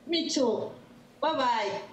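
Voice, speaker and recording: A woman's voice: high voice, expressive, slow. A woman with a Mexican accent, a native Spanish speaker, speaking reflectively as a conference participant. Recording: dull, echoey, noisy online recording.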